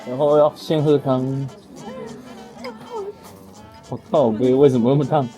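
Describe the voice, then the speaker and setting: A man talks casually in a mid-pitched voice, as if chatting over food. There is faint music behind him. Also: fairly even intonation, a little slow, mellow. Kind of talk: casual chat while eating.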